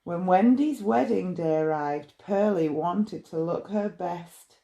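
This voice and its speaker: A woman reading a rhyming bedtime story in a measured, expressive way. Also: on the low side, creaky. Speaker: storybook reader.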